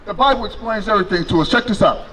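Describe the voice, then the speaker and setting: Middle-aged male street preacher, high voice, handheld mic outdoors, light street noise. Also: very fast, low-quality recording. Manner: loud, preaching with a handheld mic, questioning passersby. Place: city sidewalk outdoors by a fence.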